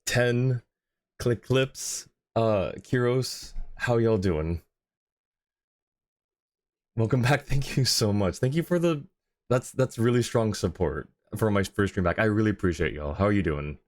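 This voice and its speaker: A man with a low-pitched voice hosts a livestream. He talks casually and sociably with his audience, in a friendly, conversational way. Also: measured pace. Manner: friendly, chatty, thanks and greets viewers by turns. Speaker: live streamer, host. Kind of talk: casual livestream chat with viewers.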